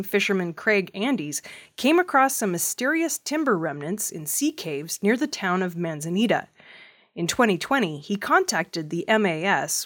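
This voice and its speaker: A woman reads the news from a script as a presenter. Her voice sits at a middle pitch for a woman, and she delivers it as narrated reporting. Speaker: news reader.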